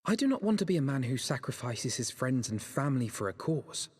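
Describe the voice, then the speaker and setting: A male actor playing an earnest, resolute young nobleman in a video game, speaking in formal, solemn, measured phrases. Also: mid-range voice, very animated, a little mellow, husky, big-room sound. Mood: solemn, resolved. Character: earnest, resolute young nobleman vowing to save his friend. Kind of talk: dramatic video game cutscene acting.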